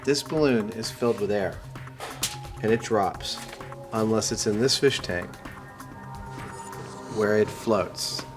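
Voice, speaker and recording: A man's voice: on the low side, takes it slowly, long pauses, over music. A man hosting a science show, explaining his experiments on camera in an enthusiastic, jokey way. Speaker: host and experimenter. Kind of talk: casual on-camera demonstration.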